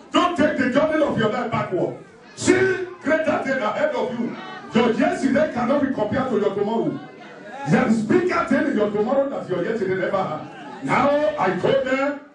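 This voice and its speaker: A man's voice: extremely high pitch, a little hiss or hum. A man preaching a sermon as a pastor, emphatic and repetitive, exhorting his listeners. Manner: emphatic, repetitive, numbered exhortations with scripture quotes. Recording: very echoey room, distant microphone.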